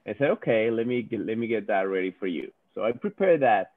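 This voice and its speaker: A man's voice: on the low side, lively intonation, a little fast, no room sound. A man from Venezuela, a native Spanish speaker talking in accented English on a video call, earnest and often repeating words as he searches for them. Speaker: guest speaker, company executive. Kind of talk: informal talk on a video call. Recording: dry, phone-like video call audio.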